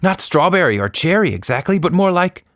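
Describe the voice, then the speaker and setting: Young storybook girl, acted by a man: playful, full of wonder, raised pitch, dry sound. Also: sing-song, fairly quick, fairly bright tone, no room sound, heavily acted.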